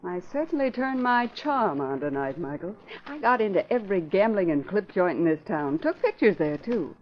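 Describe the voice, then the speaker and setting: An actress playing a plucky young female newspaper photographer in a vintage radio drama, brisk and spirited. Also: medium pitch, very animated, natural voice. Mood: eager. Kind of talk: 1940s radio acting. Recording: muffled vintage radio recording with faint hiss.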